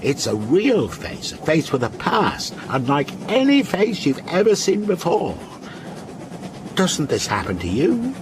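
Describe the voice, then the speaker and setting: An actor playing a genial, faintly unsettling man who teases as he tells a ghost story in a radio drama, in a measured, coy way. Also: on the high side, huge pitch swings, noisy background. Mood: eerie, probing.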